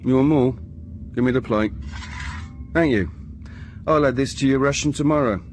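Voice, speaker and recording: A man's voice: medium pitch, moderate pace, a little hiss or hum, lightly acted. A man acting a cruel, taunting prison guard, sneering and domineering, in a period radio drama. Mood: mocking, cruel.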